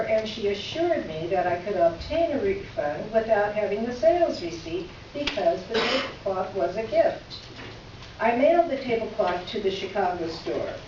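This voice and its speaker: A woman plays an exasperated woman writer delivering a sarcastic complaint letter as readers theater. Her voice is on the high side and emphatic. She is heard off-mic in a very reverberant room, slightly muffled. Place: reverberant room, recorded from a distance. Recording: echoey room, distant mic, muffled, faint noise.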